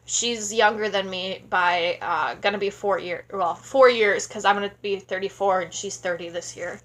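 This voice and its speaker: A woman's voice: medium pitch, normal speed, a bit bright, low-quality recording. A sleepy woman in her thirties from Wisconsin, rambling casually through a vlog Q&A at home and talking into a tablet camera. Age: 30s. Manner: rambling, chatty, many fillers. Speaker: vlogger. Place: small home room indoors. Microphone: tablet camera at close range.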